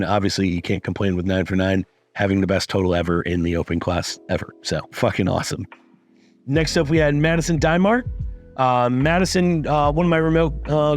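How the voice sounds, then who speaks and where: A man with a low, raspy voice recaps his athletes' results in a loose, upbeat vlog. He swears freely and leans on fillers, and soft music sits faintly behind him. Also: dry recording. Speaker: strength coach.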